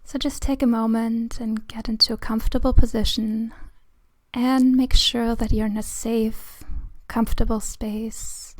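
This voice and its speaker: A woman leading a guided meditation in a soothing, hushed, breathy voice with long pauses between phrases. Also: on the high side, level tone, no echo. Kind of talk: slow guided meditation narration.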